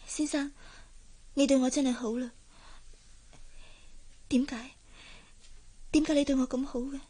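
A woman's voice: high-pitched, fairly even intonation, a little slow, dark tone. A woman with a Hong Kong Cantonese accent playing an earnest, lovesick young maid in a radio drama, deferential and wistful. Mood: shy, grateful.

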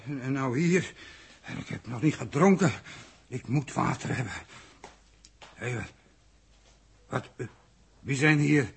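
An actor in a 1960s radio drama playing an earnest, confused and submissive man from an underground city, speaking hesitantly in a husky, gravelly voice. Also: medium pitch, lively intonation, a little slow, long pauses, full character voice. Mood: agitated, bewildered. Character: thirsty, confused, submissive male city-dweller from a future underground society. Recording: old 1960s radio recording.

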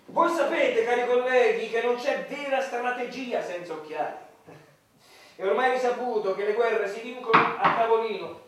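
An actor playing an obsequious, earnestly absurd official in a satirical radio play, with a formal, deferential manner. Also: male voice, very high voice, moderate pace, a bit bright. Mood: eager, earnest. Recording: very echoey room, distant mic, noisy.

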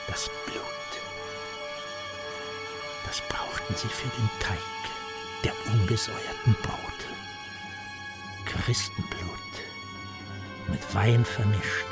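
A man playing a lurid storyteller in a German radio play, theatrical and insinuating, at times sinking to a breathy murmur. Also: lowish voice, lively intonation, slow pace, long pauses, over loud music. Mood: sinister, gloating. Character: Herr Hund, a man spinning lurid hateful tales with relish.

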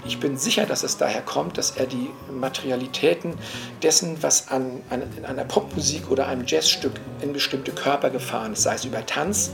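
A man's voice: medium pitch, brisk, gravelly, over music. A mature man speaking spontaneously and analytically as a cultural commentator, searching for words and often adding little tag questions. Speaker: cultural commentator and jazz enthusiast.

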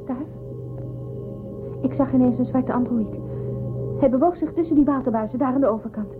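A woman's voice: high-pitched, moderate pace, natural voice. A woman in a radio drama playing a keen, strong-willed young spaceship crew member with an insistent manner. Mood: uneasy. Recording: tinny phone-like narrowband audio. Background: music.

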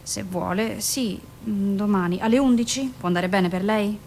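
Psichiatra composta, interpretata da un'attrice, registrazione un po' rumorosa. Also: female voice, a little mellow, lightly acted. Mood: courteous, professional. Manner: measured, formal, detached. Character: composed, guarded woman psychiatrist, patient and professional. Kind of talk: radio drama acting. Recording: noisy radio recording with low rumble.

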